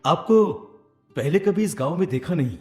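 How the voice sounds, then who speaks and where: A man's voice: on the high side, expressive, normal speed, roomy sound, soft background music, lightly acted. A man playing a smitten, courteous young landlord's son in a cartoon moral story, polite and romantic. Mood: courteous, interested.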